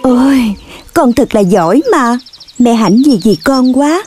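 A woman voicing an affectionate, chiding animal mother in a children's cartoon, expressive and motherly. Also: a little high, unhurried, soft background music. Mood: proud, affectionate. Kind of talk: children's cartoon dub acting.

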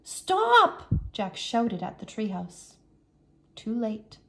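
A woman teacher narrating a children's story aloud in a careful, expressive storytelling manner. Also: mid-range voice, takes it slowly, background noise. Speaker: English teacher reading aloud.

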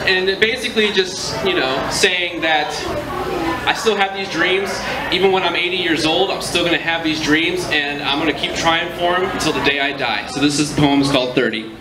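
A high-pitched man performs spoken word poetry at a small venue, moving from casual joking banter into earnest, rhythmic recitation. His voice rings around the room through a stand mic. Audience murmurs are heard behind him. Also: normal speed, a loud crowd. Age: 30s. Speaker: slam poet. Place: small indoor venue stage with live audience. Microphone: stand mic, filmed from across the room. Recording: echoey room, audience noise.

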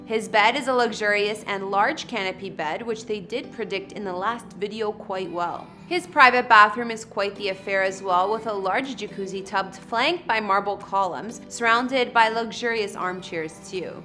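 A woman's voice: medium pitch, normal speed, few pauses, pushed and bright, soft background music. A young woman hosting an online entertainment video, reading scripted narration with an emphatic presenter delivery. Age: young adult. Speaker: host of an entertainment house tour show.

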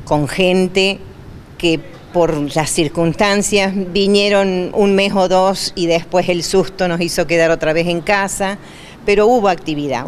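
A woman in her sixties with an Argentine accent, speaking deliberately in a local news interview as a neighbourhood representative, repeating words for emphasis. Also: lowish voice, slight background noise. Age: 60s. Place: indoors in a community hall. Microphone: handheld interview microphone.

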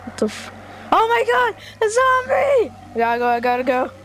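A gamer improvising a video game roleplay, excitable, exclaiming and muttering along while playing. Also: very high-pitched, normal speed. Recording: noisy home gameplay recording.

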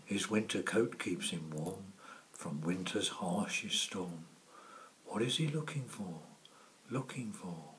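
A man reading a children's storybook in a hushed, measured manner. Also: low-pitched, rough recording. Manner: hushed, measured, often dropping to whispers. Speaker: author reading own story. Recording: faint background noise.

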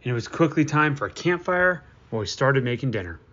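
A man's voice: mid-range voice, lively intonation, fairly quick, a bit bright, muffled recording, a little hiss or hum. A man narrating a family camping trip in an easygoing, chatty way with dry little asides. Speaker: family travel vlogger. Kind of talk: casual vlog narration. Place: outdoor lakeside campsite and in a car. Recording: music bed under voice. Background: music under the narration.

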